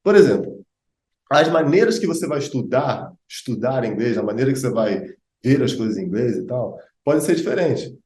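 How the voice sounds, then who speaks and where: A man's voice: mid-range voice, lively intonation, normal speed, darkish tone, reverberant. A Brazilian man, an English teacher and host, explaining in a chatty way with many fillers and asides. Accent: Brazilian Portuguese. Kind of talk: casual explanatory chat.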